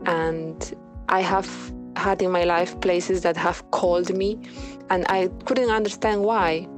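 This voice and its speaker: A woman from Ecuador leaving a heartfelt voice message, speaking halting English. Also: lowish voice. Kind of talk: casual voice message.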